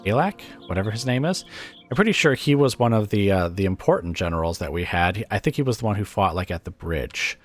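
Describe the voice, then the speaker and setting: A man with a very deep voice, roughened and raspy from an illness, talks through his strategy game. He muses aloud, pausing on fillers as he weighs each move, while soft game music plays faintly behind him. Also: expressive. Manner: musing, hesitant, thinks aloud with fillers. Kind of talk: casual gameplay commentary.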